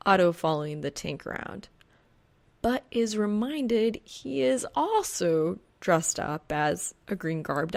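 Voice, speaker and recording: A woman's voice: lowish voice, vocal fry. A woman reading a piece of fan fiction aloud as scripted audiobook narration.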